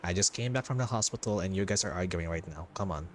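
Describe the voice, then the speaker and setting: A man's voice: deep voice, fast. A man chatting casually as a game livestreamer, informal and often repeating short phrases. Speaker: livestreamer, gaming vtuber. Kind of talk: casual stream chat during game playthrough. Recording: background noise on stream mic.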